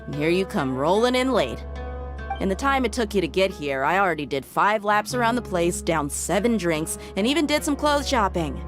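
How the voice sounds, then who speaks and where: A woman's voice: medium pitch, big pitch swings, normal speed, lightly acted. A woman voicing a playful, teasing young female mercenary in a game. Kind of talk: game voice acting. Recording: game music playing loud behind.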